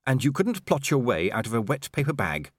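A man's voice: mid-range voice, sing-song, a little fast, dry recording, clean studio sound. A man voicing a tough, sardonic young werewolf woman in an audiobook. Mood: cool scorn.

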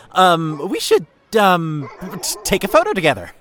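A man's voice: sits high, huge pitch swings, measured pace, a bit bright, exaggerated voice. An actor playing a carefree, caring young man in an audio drama, with a hesitant, earnest way of talking. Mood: flustered, teasing. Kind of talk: naturalistic audio drama dialogue.

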